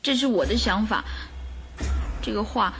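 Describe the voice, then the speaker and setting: A woman's voice: medium pitch, expressive, measured pace, gravelly, a bit bright, breathy edge, faint music behind, full character voice. A woman playing an earnest, distressed young governess under suspicion in a radio drama. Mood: anxious recounting.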